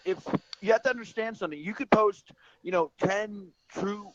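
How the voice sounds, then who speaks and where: A man's voice: high-pitched, normal speed, fairly bright tone, no echo, muffled recording. A man hosting an online talk show, rambling with many restarts. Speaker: host.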